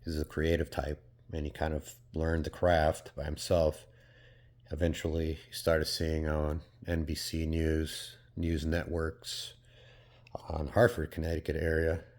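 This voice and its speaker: A man's voice: rumbling low, a little mellow. A man from Portugal talking in a casual, reflective vlog monologue, rambling and hesitant, with many fillers and casual swearing. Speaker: vlogger.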